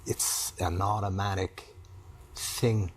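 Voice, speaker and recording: A man answering as a client in a therapy session, in terse, halting phrases. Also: low-pitched, little pitch movement, slightly rough. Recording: faint background noise.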